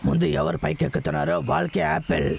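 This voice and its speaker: A man voicing a bossy, quarrelsome elder brother bear in a dubbed children's cartoon, with a gravelly, animated delivery. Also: on the high side, quick pace. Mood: insistent. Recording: loud music over the dialogue. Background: loud cartoon music.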